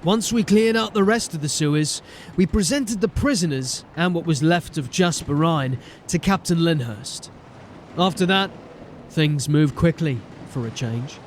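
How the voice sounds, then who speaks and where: An actor playing a wry, resolute city watch sergeant in a fantasy audio drama. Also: male voice, fairly high pitch, a little slow, a little hiss or hum, lightly acted. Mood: grimly resolute. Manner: commanding, wry, grimly determined. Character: gruff, resolute male city watch sergeant turned captain. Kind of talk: audio drama acting and first-person narration. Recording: music behind the voice. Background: music.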